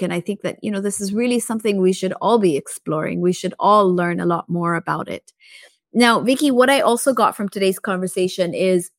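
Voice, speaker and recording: A woman's voice: fairly low pitch, no room sound. Podcast host, woman, reflective conversational delivery, dry sound. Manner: thoughtful, with frequent "you know" fillers. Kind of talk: conversational interview.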